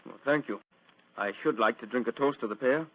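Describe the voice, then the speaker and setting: An actor playing a courteous, resigned, lovelorn gentleman with a hesitant manner, in 1940s radio drama. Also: medium pitch, brisk, close to an everyday voice. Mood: wistful, resigned. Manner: polite, hesitant, wistful. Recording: dull old radio recording.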